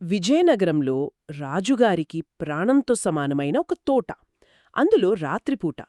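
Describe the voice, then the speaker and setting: A woman's voice: fairly low pitch, big pitch swings, unhurried, mellow, no room sound, studio quality. A woman co-hosting a conversational discussion of a story, explaining it as a storyteller would. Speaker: podcast co-host.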